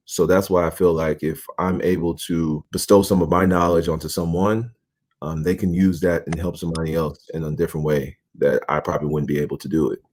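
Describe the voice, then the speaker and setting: A young man with a very low voice talks in a relaxed, earnest way. He fills his sentences with "you know" as he co-hosts a casual chat. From Nigeria, raised in Houston. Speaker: channel co-host, professional basketball player.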